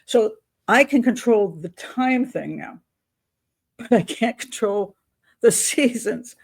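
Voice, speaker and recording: A woman's voice: a little high, animated, takes it slowly, breathy edge. An older woman hosting a casual advice vlog, talking in a chatty, candid, rambling and self-deprecating way. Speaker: advice show host on YouTube.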